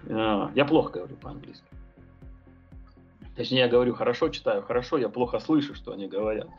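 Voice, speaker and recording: A man's voice: mid-range voice, quick pace, slightly rough, highs cut off, soft background music. A man talking as a podcast guest, thinking aloud about his tattoo and painting work, rambling with many fillers and hesitations. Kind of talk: casual podcast conversation.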